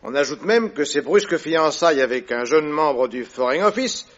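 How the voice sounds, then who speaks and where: An actor playing a calm, ironic detective in an old radio drama, giving wry, commanding orders. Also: male voice, on the high side, normal speed, lightly acted. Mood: brisk. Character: calm, ironic male detective, middle-aged. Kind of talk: vintage radio drama acting. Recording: dull lo-fi old radio recording.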